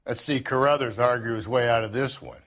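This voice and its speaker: An actor playing a blunt, impatient, tough American police detective in a video game. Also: male voice, mid-range voice, fairly quick, fairly bright tone, slight background noise, lightly acted. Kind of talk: dramatic video game acting.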